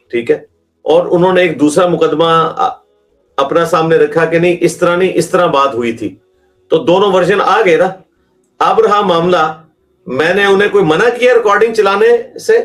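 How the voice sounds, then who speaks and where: A man with a Pakistani accent in a question-and-answer talk, arguing emphatically as a religious scholar and throwing out rhetorical questions. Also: fairly high pitch.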